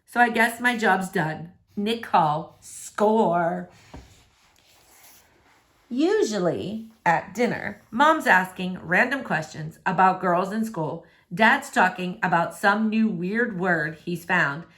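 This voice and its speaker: A woman's voice: mid-range voice, slow, fairly bright tone. A woman reading a novel in verse aloud, narrating expressively.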